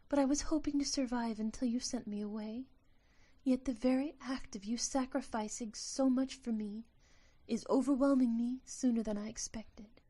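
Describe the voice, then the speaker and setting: A woman voicing a gentle, loving magical sylph who is dying, soft and solemn, in an audio drama. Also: on the high side, natural voice. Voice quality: smooth, soft.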